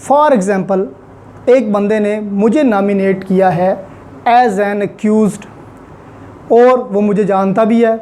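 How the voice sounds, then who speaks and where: Pakistani woman lecturing, mellow and unhurried. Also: medium pitch, slight background noise. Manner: didactic, repeats key points for emphasis; mixes English terms and phrases into speech. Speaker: law lecturer. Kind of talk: explanatory lecture with worked examples.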